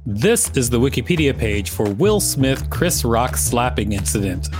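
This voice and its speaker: A man's voice: medium pitch, very animated, unhurried, over music. A man hosting a podcast, reading an article aloud and adding casual commentary. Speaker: podcast host.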